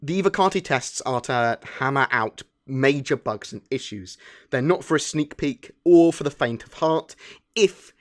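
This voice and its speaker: A man's voice: medium pitch, expressive, moderate pace. Chatty British man presenting gaming news. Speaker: host of a gaming news channel. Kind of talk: informal scripted news commentary.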